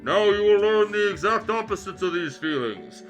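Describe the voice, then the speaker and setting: A man voicing a calm, solemn ghost guide who lectures sternly in a fan fiction reading. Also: high-pitched, normal speed, faint music behind, pitched above the performer's own voice. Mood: solemn lecturing.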